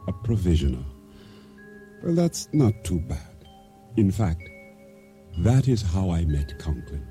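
A man's voice: sits low, sing-song, takes it slowly, dark tone, faint music behind, full character voice. An actor playing a wry, reflective middle-aged island fisherman turned storekeeper in a radio drama. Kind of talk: radio drama acting, first-person narration.

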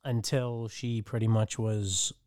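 A middle-aged man from the Dominican Republic tells his life story as a podcast guest. His voice is smooth and clean, and the recording is dry with no room sound. He pauses and restarts often as he works through his memories. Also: on the low side, level tone, darkish tone, no room sound. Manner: halting, with frequent restarts and fillers. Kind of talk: personal storytelling.

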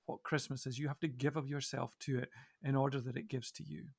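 A middle-aged man speaking as a podcast guest in a reflective discussion, thoughtful and halting, with deliberate pauses. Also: medium pitch, fairly even intonation, vocal fry, no room sound. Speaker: podcast guest and church minister.

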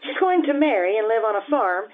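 A woman's voice: a little high, very animated, a little fast. Woman acting a blunt innkeeper, muffled radio sound. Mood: warm, chatty. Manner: earthy, frank, teasing. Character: blunt, warm-hearted young Italian innkeeper woman. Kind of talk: old-time radio drama acting.

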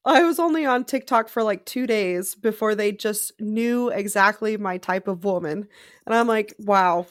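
A woman talking as a guest in a casual podcast chat between friends, effusive, slangy and quick to swear. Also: mid-range voice, no echo, studio quality.

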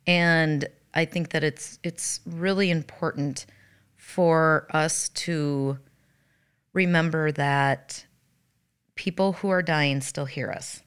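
A middle-aged woman with a slightly raspy voice, talking earnestly and reflectively as a podcast co-host. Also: low voice, fairly even intonation, takes it slowly, fairly bright tone. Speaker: podcast co-host, hospice worker. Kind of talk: conversational podcast talk.

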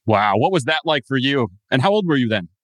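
A man hosting a podcast, reading a brisk scripted intro and then chatting in an upbeat way with his guest. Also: mid-range voice, big pitch swings, no echo. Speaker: podcast host.

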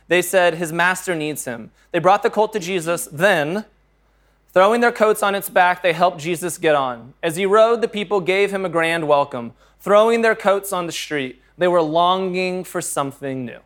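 Man, pastor, fairly high voice, earnest, conversational sermon. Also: a bit bright. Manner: earnest, conversational, jokes about his own reading slips. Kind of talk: sermon with scripture reading.

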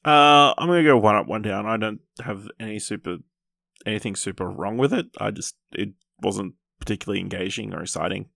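A man's voice: lowish voice, brisk, vocal fry, bright, forceful tone, dry recording, studio quality. A man hosting a podcast and chatting casually about films. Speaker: podcast host. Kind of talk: casual film review chat.

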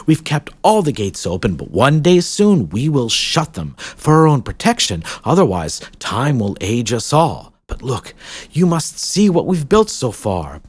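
A man voicing a gushing, welcoming woman who leads a hidden paradise, with a lively, sing-song delivery. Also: a bass voice, unhurried, slightly rough, dry recording, voice pushed up, full character voice. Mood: flustered. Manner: effusive, gushing.

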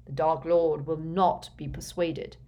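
A woman voicing a cold, controlled, calculating man in an audiobook, speaking flatly, slowly and quietly. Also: low-pitched. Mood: flat, stern. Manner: flat, slow, quiet, measured.

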